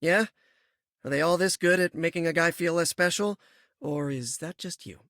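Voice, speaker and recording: An actor playing an eager, joking young superhero in a video game, chatty and self-deprecating. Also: male voice, on the high side, normal speed, fairly bright tone, no room sound, clean studio sound. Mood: wry, deflated.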